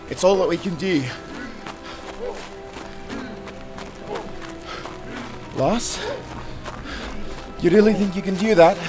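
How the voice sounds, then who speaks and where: Loyal sailor, earnest, caring, urgent, lightly raised pitch, cinematic audio roleplay. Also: male voice. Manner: earnest, speaking directly to a companion.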